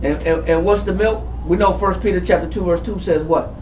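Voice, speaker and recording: A man in his forties teaching a Bible study, emphatic and repetitive, calling on readers and building to shouts. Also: sits high, fairly even intonation, a little fast, a little hiss or hum. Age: 40s. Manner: emphatic, repetitive, calls for readings, rising to shouts. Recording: far-off echoey room, muffled and lo-fi.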